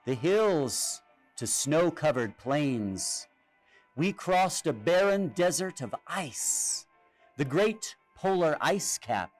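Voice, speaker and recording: A man narrates a children's storybook with expressive drama. His fairly high voice swings widely in pitch and takes its time, with music playing behind it. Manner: expressive, dramatic read-aloud delivery. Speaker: storybook reader. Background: music and sound effects.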